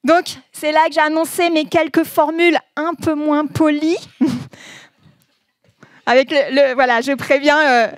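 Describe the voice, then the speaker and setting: A woman giving a relaxed presentation, reading proverbs aloud and explaining them with frequent laughter. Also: high voice, dry recording. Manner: slow, laughing often, says proverbs then explains them. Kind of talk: informal presentation with translations.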